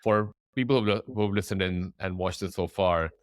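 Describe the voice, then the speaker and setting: A man hosting a podcast interview, asking questions in an upbeat way with quick interjections. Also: low-pitched, steady tone, clear, resonant tone, no echo. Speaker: podcast host. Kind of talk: casual interview chat.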